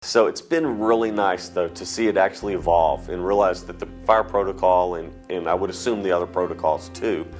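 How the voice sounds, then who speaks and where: A man's voice: deep voice, fairly quick, few pauses. A man speaking reflectively as an interviewee in a documentary. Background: soft guitar music.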